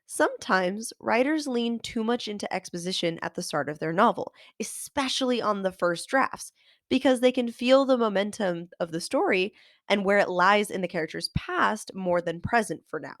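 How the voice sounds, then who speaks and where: A woman's voice: mid-range voice, expressive, moderate pace, no room sound, studio quality. Congested woman host teaching writing. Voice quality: nasal. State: congested from a cold. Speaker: podcast host and author. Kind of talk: scripted writing lesson.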